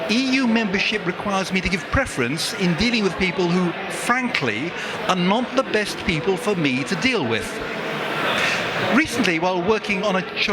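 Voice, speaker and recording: A man speaks in a high, very animated voice. He gives an earnest prepared statement, stumbling and restarting when he strays from the script. Heavy street traffic roars behind him on this outdoor recording. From Britain. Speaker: barrister and tech patent holder. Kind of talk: prepared political statement with retakes. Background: loud traffic and street noise.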